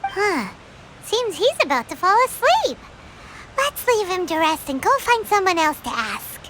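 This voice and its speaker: A woman's voice: big pitch swings, measured pace, fairly bright tone, slight background noise, exaggerated voice. A woman voicing a tiny, chirpy female companion sprite who talks about herself by name. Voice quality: very high, squeaky. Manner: bubbly, refers to herself by name. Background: game music.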